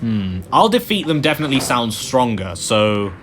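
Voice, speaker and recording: A man's voice: mid-range voice, sing-song, normal speed, fairly bright tone, a little hiss or hum. A young man casually commenting on a game as he plays, chatty and rambling with many fillers. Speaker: gaming video host. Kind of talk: casual let's-play commentary.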